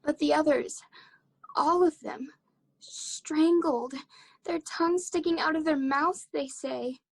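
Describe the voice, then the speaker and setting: A woman's voice: high-pitched, takes it slowly, creaky, heavily acted, poor audio. A woman playing a fretful, easily frightened young woman in a radio play, speaking anxiously and dramatically. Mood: anxious gossip. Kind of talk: 1940s radio play acting.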